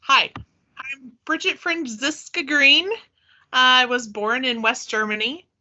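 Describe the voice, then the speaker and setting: Slow, very bright-voiced middle-aged woman. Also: high-pitched, fairly even intonation. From US East Coast. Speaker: student podcast participant. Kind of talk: casual self-introduction. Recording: muffled lo-fi audio.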